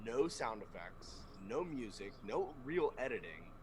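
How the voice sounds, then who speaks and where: A man's voice: medium pitch, measured pace, noisy background. A man co-hosting a podcast, chatting casually, laughing often and slipping into quoted voices as he tells stories. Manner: laughing, animated storyteller, slips into quoted voices. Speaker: podcast co-host. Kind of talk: casual chat.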